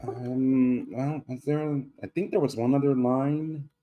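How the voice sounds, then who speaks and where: A man's voice: mid-range voice, fairly even intonation, takes it slowly, phone-quality sound. A man giving casual, blunt, explanatory criticism in a group feedback discussion. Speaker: table read participant giving feedback.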